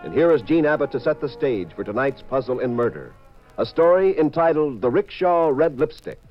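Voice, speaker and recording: A man's voice: medium pitch, sing-song, moderate pace. A man announcing a 1950s radio drama in a formal, scripted style. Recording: dull 1950s radio recording, faint music.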